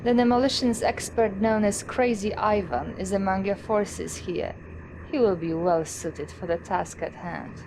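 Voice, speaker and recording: An actress playing a female Soviet officer giving mission briefings with a crisp, slyly teasing manner. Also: mid-range voice, a little slow, background noise, lightly acted. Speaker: military officer briefing the general. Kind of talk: full-motion video game cutscene.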